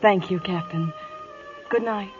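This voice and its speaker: A woman's voice: mid-range voice, a little slow, lightly acted. An actress playing a coy, courteous young woman in vintage radio drama style. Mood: apologetic. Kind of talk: 1940s-50s radio acting. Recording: dull vintage radio recording.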